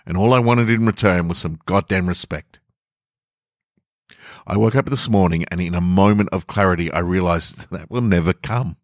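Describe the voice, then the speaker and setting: A man's voice: low voice, no room sound, studio quality, lightly acted. A man reading a story monologue as an aggrieved, uncomfortable office employee making an earnest, defiant stand. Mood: defiant, bitter. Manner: deliberate, emphatic, earnest.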